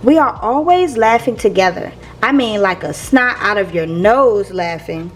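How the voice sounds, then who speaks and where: A woman reading a picture book aloud to young listeners in a playful, expressive teacher's manner. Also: mid-range voice, measured pace, a little hiss or hum. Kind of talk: expressive children's read-aloud.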